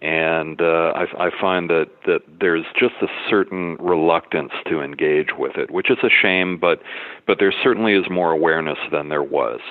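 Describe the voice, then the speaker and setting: A very deep-voiced man from the United States answers interview questions in a measured, explanatory way, sometimes restarting a thought. His voice comes over a narrow, phone-like line. The sound is dry and close. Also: no room sound. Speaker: military historian guest.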